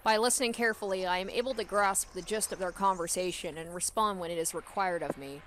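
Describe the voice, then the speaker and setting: A woman's voice: fairly low pitch, fast talker, background noise. A woman hosting a let's play, reading a visual novel aloud and adding casual commentary. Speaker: let's play host and narrator.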